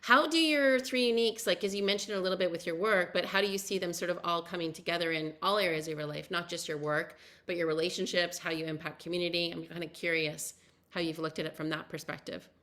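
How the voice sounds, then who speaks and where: A woman's voice: lowish voice, steady tone, fast talker, a bit bright. A woman interviewing a guest as a podcast host, chatty and effusive, with long, winding questions. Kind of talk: casual conversational interviewing.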